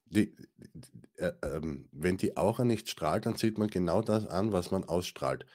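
Very deep-voiced man chatting. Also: animated, measured pace, darkish tone. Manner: rambling, colloquial, many restarts and fillers. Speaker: podcast co-host. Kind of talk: casual spiritual chat.

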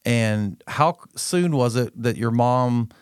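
A man works as the interviewer, putting questions in a conversational style. His pitch is average for a man, and the sound is very dry, with no room echo. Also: slow, clean tone. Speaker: interviewer, podcast host.

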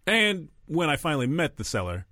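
A man telling a story among friends, full of fillers and restarts. His pitch rises and falls with energy. The sound is dry and close. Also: brisk, no echo. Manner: animated storytelling, many fillers. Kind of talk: casual chat, telling an anecdote.

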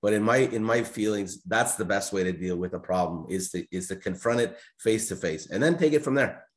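Male panelist, lower pitch, direct and firm, emphatic repetition. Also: brisk. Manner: firm, repeats phrases for emphasis. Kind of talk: casual panel discussion.

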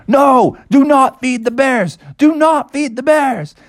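A man voicing a flustered, bossy park ranger who shouts urgent, repeated commands in a children's story. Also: very high voice, animated, measured pace, voice pushed up, exaggerated voice. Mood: alarmed.

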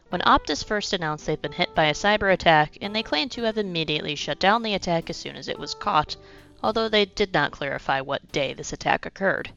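A woman's voice: lowish voice, fairly bright tone, clear, resonant tone, lo-fi sound. A young woman hosting a podcast, narrating a script with a slightly congested voice from a cold and dropping in wry asides. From United States. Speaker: podcast host.

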